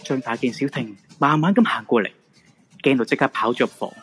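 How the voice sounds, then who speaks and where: A man's voice: medium pitch, a little fast, gravelly, a bit bright, soft background music. A man with a Hong Kong Cantonese accent narrating a supernatural radio drama in a dramatic storytelling style.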